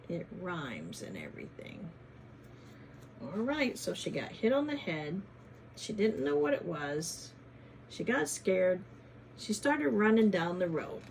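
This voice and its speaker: A woman hosts a children's read-aloud in a teacherly, questioning manner. Her pitch moves widely and her pace is slow, with some creak in the voice. She mixes expressive story reading with chatty asides. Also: slight background noise, low-quality recording.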